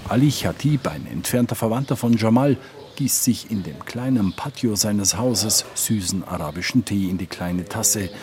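A male reporter narrating a scripted news feature in a measured, matter-of-fact way. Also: fairly low pitch, expressive, darkish tone.

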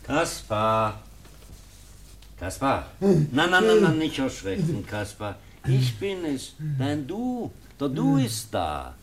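An actor playing a devoted, idealistic professor in a radio drama, earnest and polite, halting when flustered. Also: male voice, mid-range voice, huge pitch swings. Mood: gentle, coaxing. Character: devoted idealistic male schoolteacher professor, fervent, deferential, gentle. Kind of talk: German radio drama acting.

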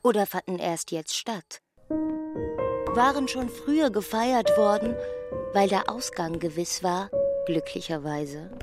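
Slow female narrator over loud music. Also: mid-range voice, expressive. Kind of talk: scripted literary narration in a radio drama. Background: prominent music.

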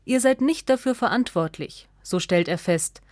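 A woman reads a scripted radio essay as narrator, in a measured, articulate way. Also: on the high side, lively intonation, a little mellow, no room sound, clean studio sound.

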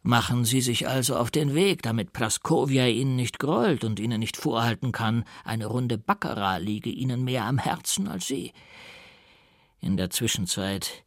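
A man's voice: mid-range voice, animated, normal speed, dry recording, clean studio sound, close to an everyday voice. A man voicing a sly, amused doctor in an audiobook, with ornate, mock-deferential formal speech. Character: sly male doctor, mockingly courteous and amused.

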